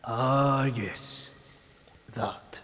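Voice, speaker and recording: An old rat sensei, acted by a man, speaks with solemn, formal care. The pace is very unhurried at a middle male pitch. Long reverb surrounds this dramatic fatherly figure. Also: a bit bright. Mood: grave, sorrowful. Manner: formal, measured, grave. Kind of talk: dramatic audio drama acting. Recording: long reverberant hall echo.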